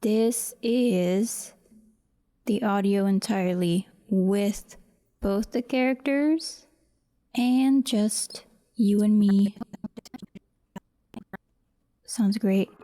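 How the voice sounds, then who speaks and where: A woman giving casual behind-the-scenes commentary as she edits, halting and muttering to herself. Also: medium pitch, warm tone. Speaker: voice actor, audio creator.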